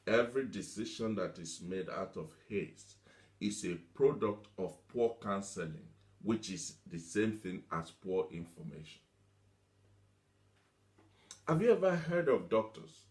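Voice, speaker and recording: A man's voice: on the low side, animated, measured pace, darkish tone. A man preaching a motivational teaching sermon, emphatic, repeating himself and asking rhetorical questions. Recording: echoey room.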